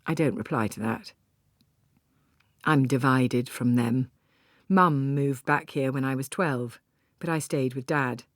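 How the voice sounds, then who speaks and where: A low-pitched woman narrates an audiobook in the first person. Her reading is scripted and literary, and the sound is dry, with hardly any room in it. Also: takes it slowly, long pauses, dry recording.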